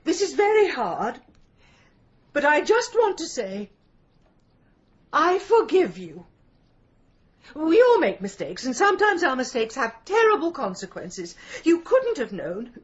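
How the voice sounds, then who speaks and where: A woman playing a theatrical, self-dramatising weeping widow in a radio drama, halting, plaintive and tearful. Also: sits high, huge pitch swings, dull audio, full character voice. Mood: noble, tearful.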